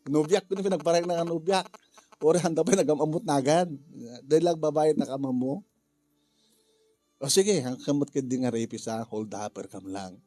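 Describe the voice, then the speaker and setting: A man with an Ilocano Filipino accent talking casually through a drama story in a halting, rambling, jokey way. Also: on the high side, mellow, faint music behind. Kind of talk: casual spoken story briefing.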